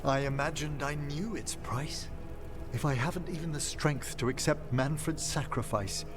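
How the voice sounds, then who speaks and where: A man's voice: a little high, normal speed, natural voice. An actor playing a dignified older male necromancer in a video game, formal, eloquent and tender. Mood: torn, earnest. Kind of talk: theatrical video game acting. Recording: music behind the voice.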